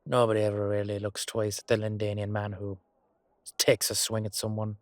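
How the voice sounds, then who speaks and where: A man with an Irish accent playing a hot-headed, loyal knight in a roleplay audio drama, gruff and colloquial with a wry streak. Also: on the low side, completely flat delivery, moderate pace, no echo, close to an everyday voice. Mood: wry, cautious.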